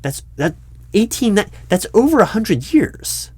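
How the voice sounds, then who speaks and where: Man playing a halting, flustered job interviewer. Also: high voice, big pitch swings, darkish tone, breathy edge, dry recording, heavily acted. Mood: puzzled, incredulous. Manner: halting, stammering, repeats words. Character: flustered, politely incredulous male recruiting manager interviewing someone. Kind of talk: one-sided improvised audio roleplay, spoken aloud. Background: computer keyboard typing.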